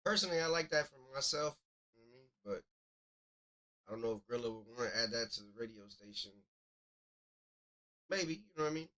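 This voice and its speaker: A man's voice: medium pitch, animated, a little fast, pauses often, sharp tone, poor audio. A man hosting a music review livestream as DJ, chatting casually in a slangy, rambling way. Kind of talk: casual live commentary on songs.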